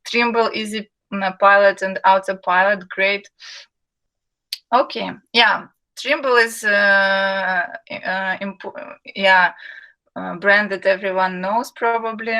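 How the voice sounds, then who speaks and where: Slow, bright-voiced woman hosting a webinar. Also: mid-range voice. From Ukraine. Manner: friendly, many fillers, reads chat aloud. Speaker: webinar host, marketing and sales manager. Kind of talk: live webinar hosting, chatting with viewers.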